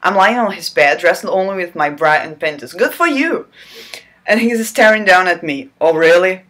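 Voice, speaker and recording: A woman's voice: lowish voice, expressive, normal speed, fairly bright tone. A woman hosting her channel, reading a romance novel aloud in an over-the-top theatrical way and breaking off for sarcastic jokes. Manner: theatrical, breaks off to joke and squeal. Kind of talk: mock-dramatic reading with sarcastic asides.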